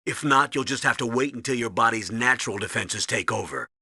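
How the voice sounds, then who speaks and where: A man playing a gruff, urgent military commanding officer briefing his agent in a video game, with a commanding, instructive delivery. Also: medium pitch, fairly quick, sharp tone, dry recording, studio quality, full character voice. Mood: commanding, steady.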